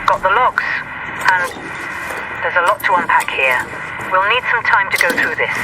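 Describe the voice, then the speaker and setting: A woman playing a brisk, dry-witted resistance dispatcher in a sci-fi game, speaking clipped, businesslike lines through a radio filter. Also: on the low side, expressive. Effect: radio transmission filter. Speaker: mission-giving game character. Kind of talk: scripted radio briefing in a game. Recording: distant, very noisy radio transmission.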